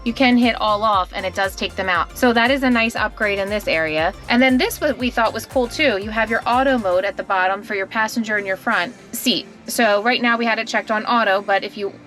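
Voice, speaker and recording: A woman's voice: medium pitch, fairly quick, few pauses, fairly bright tone, faint music behind. A woman in her forties, co-hosting, plainly explaining a car's screen settings from inside a parked car. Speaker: co-host. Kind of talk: casual chat. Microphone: action camera in car.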